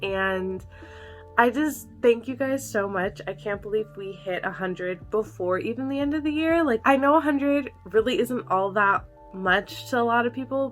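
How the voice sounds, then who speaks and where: Casting: young woman, bubbly vlog host, slight cold-nasal tone, light music underneath. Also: medium pitch. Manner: bubbly, excitable, frequent "like" and gasps. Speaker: ghost video reaction host. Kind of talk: casual chatty reaction vlog.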